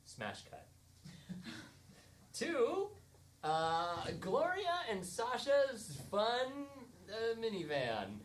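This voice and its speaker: A woman improvising comic scene narration on stage in an animated, dramatic manner. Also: fairly high pitch, drawn-out words. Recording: noisy live stage recording.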